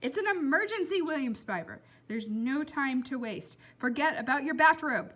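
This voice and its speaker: A woman's voice: high voice, expressive, normal speed, a bit bright, pitched above the performer's own voice. A woman voicing a frantic, exasperated young girl from a storybook, calling out urgently and insistently.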